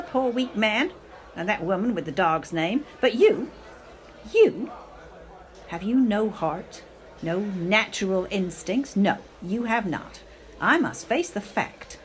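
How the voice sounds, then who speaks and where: An amateur actress playing a bitter, drunken middle-aged mother in a radio play, theatrical and martyred, with dramatic hesitations. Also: on the high side.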